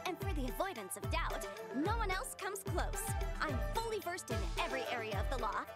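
A woman's voice: high voice, big pitch swings, a little fast. A woman voicing a self-assured young female legal expert in a video game trailer, proud and lecturing. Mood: confident, cheerful. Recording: loud music under the voice.

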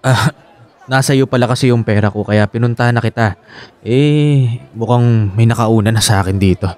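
A man's voice: medium pitch, normal speed, a little mellow, close to an everyday voice. A man acting a protective, possessive young husband in a Filipino radio drama, with a Filipino accent. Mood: pointed. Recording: clear music bed behind dialogue.

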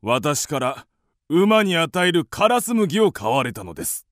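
An actor playing a pompous, short-tempered landowner in a radio drama, formal yet explosive. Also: male voice, fairly high pitch, sing-song, unhurried, fairly bright tone, dry recording, clean studio sound. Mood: stiffly formal. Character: blustering, short-tempered male landowner and creditor, scornful of women.

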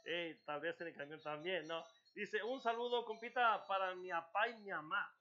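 A man hosting a studio music show, talking high and bright with a lot of hype for the crowd. He jokes and chats easily with the band. The sound is dull and lo-fi. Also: moderate pace. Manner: hypes up the audience, joking and chatty. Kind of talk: lively talk-show hosting. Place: TV studio set, indoors.

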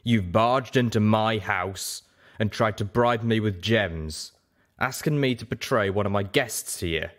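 A man voicing a big, protective male dragon with a deliberate, pointed manner in a story reading. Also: fairly low pitch, fairly bright tone. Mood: stern.

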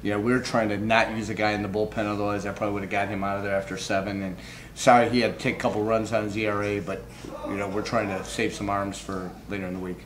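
A middle-aged man answering post-game questions as a baseball manager, informal and halting. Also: fairly low pitch, level tone. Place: indoor press backdrop room. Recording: clearly noisy room background.

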